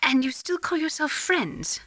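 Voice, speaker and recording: A woman's voice: high-pitched, animated, unhurried, sharp tone, no echo, exaggerated voice. An actress playing an earnest female scientist in a video game, questioning and probing in a dramatic style. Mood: disapproving.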